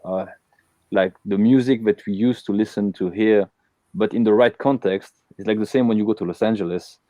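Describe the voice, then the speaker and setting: A man's voice: lowish voice, fairly even intonation, normal speed, dark tone, no room sound. A man from Belgium hosting a casual live interview, talking with many fillers and restarts. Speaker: host.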